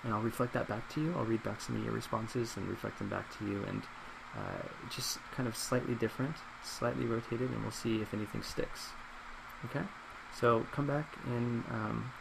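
A man in his twenties speaking in a soft ASMR role play as a psychologist, hesitant, measured and reassuring, recorded in a small office. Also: mid-range voice, fairly even intonation, creaky. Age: 20s. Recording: loud laptop fan noise.

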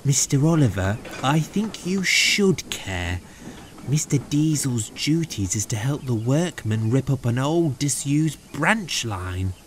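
Storybook brake van, man acting: male, polite, deferential, gently worried, unhurried murmur. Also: medium pitch, very animated, a little hiss or hum, voice pushed up. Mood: cautious, worried. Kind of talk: children's storybook character voice.

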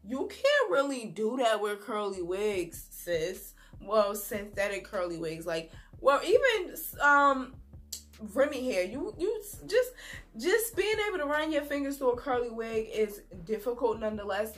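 A young woman with a cold, her voice throaty and sniffly, chatting casually to camera in a small room as she reviews a wig. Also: on the high side. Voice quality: sniffly, husky from a sore throat. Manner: chatty and relaxed, drawn-out words, many "um"s. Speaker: beauty vlogger.

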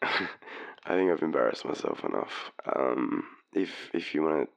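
A man's voice: rumbling low, sing-song, unhurried, vocal fry, exaggerated voice. A man with an Australian accent playing a drunk, shy, apologetic young man in an intimate boyfriend roleplay, stammering through a late-night call. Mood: sheepish, eager. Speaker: audio roleplay performer.

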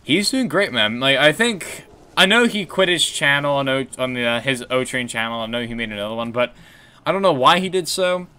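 A young man with a deep voice chatting on a gaming stream, low-key and rambling with fillers and restarts. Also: expressive, fairly quick, bright, forceful tone, clean tone. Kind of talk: casual gaming stream chat.

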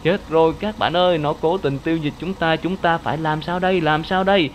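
A man's voice: steady tone, fairly quick, a little hiss or hum, lightly acted. A man voicing a frightened cartoon truck, pleading and crying urgently for help. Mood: panicked. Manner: high, urgent cries for help.

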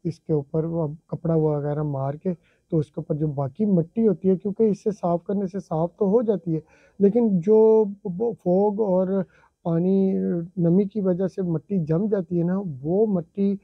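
A middle-aged man with a husky, slightly high voice, chatting politely to viewers as he explains each step of washing a car. He is in a home garage, with the sound dry and close. The phone recording is muffled and lo-fi. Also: steady tone, unhurried, no echo. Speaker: vlogger. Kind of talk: casual how-to narration.

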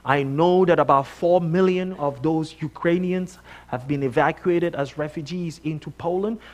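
Male guest preacher, Nigerian accent, high-pitched voice, conversational sermon style with animated, emphatic storytelling. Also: normal speed, highs cut off. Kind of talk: conversational sermon with personal anecdotes. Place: church sanctuary, on stage before a congregation.

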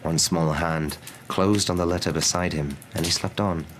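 Young male storybook narrator, ASMR style, very low register, faint noise behind. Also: steady tone, moderate pace, fairly bright tone. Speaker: narrator reading a novel aloud. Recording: noticeable background noise.

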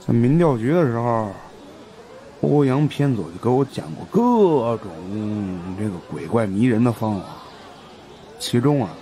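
A man's voice: lowish voice, mellow. A man voicing a fat, greedy, superstitious investigator who chats in a hesitant, grumbling, colloquial way in an audiobook. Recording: faint background noise.

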